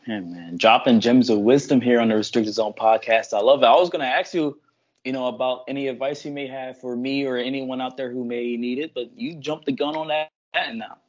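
A man's voice: medium pitch, fairly quick. A young man hosting a podcast interview over a video call, bantering casually with his guest. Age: young adult. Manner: friendly banter, laughs along, calls guest "bro". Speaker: podcast host. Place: small room indoors. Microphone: video call, laptop camera. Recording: dull lo-fi video call audio.

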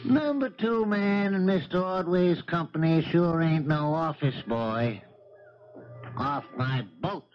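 An actor in a 1970s radio drama playing a crusty old charter boat captain, gruff and folksy. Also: male voice, on the high side, slow pace, faint music behind. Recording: muffled 1970s radio recording.

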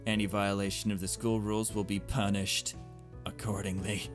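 A man's voice: fairly low pitch, little pitch movement, moderate pace, over music, close to an everyday voice. A man voicing a polite, chirpy creature headmistress with a prim, formal manner in a visual novel game.

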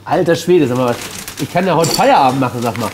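A man's voice: on the high side, animated, noisy background. A man hosting a cooking show in a studio kitchen, chatting in an upbeat, joking way on a lapel mic. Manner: upbeat, joking, quick to chime in. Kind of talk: casual chat while cooking.

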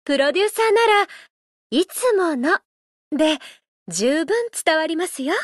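A woman voicing a gentle, playful idol who loves a drink, speaking politely and teasingly in a mobile game. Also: high-pitched, sing-song, no room sound, clean studio sound. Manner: polite, unhurried, teasing, softly flirtatious. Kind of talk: Japanese mobile game character voice lines.

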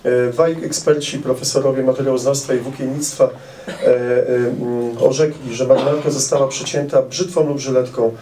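A middle-aged man, an author at a public meeting, answering audience questions in a measured way with frequent hesitations, speaking into a handheld mic. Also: mid-range voice, flat delivery, mellow, slight background noise. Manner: measured, many "e" fillers, precise. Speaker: author at a meet-the-author event. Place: indoor event hall with audience. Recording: roomy hall sound, camera some distance off.

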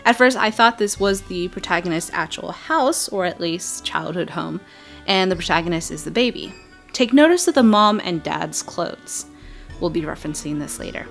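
A woman giving casual, expressive voice-over commentary on a video game, chatty and full of wry asides. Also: on the low side, moderate pace, vocal fry. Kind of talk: casual trailer breakdown commentary. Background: music.

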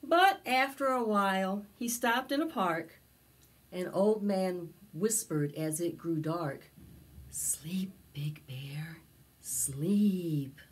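An older woman hosting preschool storytime, reading a picture book aloud with expressive, drawn-out phrasing. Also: mid-range voice. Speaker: library preschool storytime host. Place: small indoor space with decorated backdrop.

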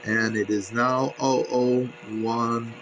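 Slow, rambling man over background noise. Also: medium pitch, little pitch movement. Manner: rambling, slangy, talks to himself. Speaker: shortwave radio hobbyist filming a scanning video. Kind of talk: casual off-the-cuff chat while testing a radio. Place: indoors at a desk. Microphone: handheld phone. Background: shortwave radio noise.

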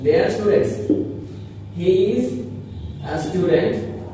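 A young man teaching a beginner English grammar lesson at a whiteboard, explaining slowly with many fillers and repetitions. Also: sits high, expressive, warm tone, a little hiss or hum. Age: young adult. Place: small indoor room with whiteboard. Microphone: camera mic from a distance. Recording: echoey room, far from mic.

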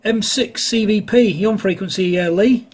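A man's voice: sits high, moderate pace, husky. A man chatting casually as an amateur radio operator, recorded indoors at his radio desk. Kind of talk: casual two-way radio chat.

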